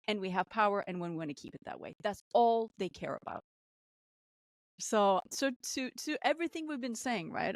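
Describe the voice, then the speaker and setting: Podcast guest, woman, Arabic speaker, heated and emphatic, dry close sound. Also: no echo. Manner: emphatic, rising pitch when indignant, repeats phrases. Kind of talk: impassioned political commentary.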